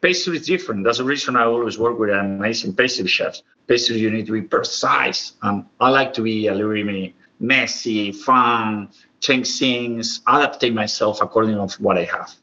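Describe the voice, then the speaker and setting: Bright-voiced Argentine man, slightly dull recording. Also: on the low side, normal speed, few pauses. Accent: Argentine Spanish. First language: Spanish. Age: 40s. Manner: expressive, informal, non-native English grammar. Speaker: professional chef, interview guest. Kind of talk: conversational interview storytelling.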